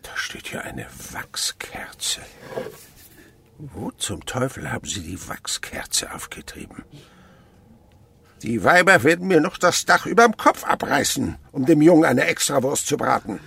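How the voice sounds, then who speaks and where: An actor playing a gruff, sly, miserly older husband and uncle in a radio drama, grumbling and sarcastic. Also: male voice, medium pitch, sing-song, unhurried, bright, forceful tone, in a whisper, exaggerated voice. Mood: irritated, commanding. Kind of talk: German radio drama acting.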